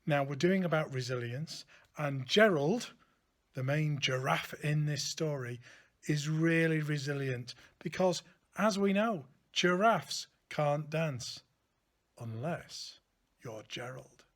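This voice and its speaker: A man reading a children's picture book aloud in an expressive, deliberate storytelling manner, like a school teacher. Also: fairly high pitch.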